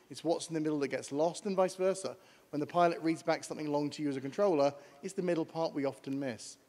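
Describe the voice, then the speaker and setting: A man with a British accent giving a brisk conference talk from slides, explaining to an audience. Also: on the high side, a little mellow. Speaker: panel speaker on air traffic communication. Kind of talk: conference presentation. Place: large conference hall. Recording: long hall reverb.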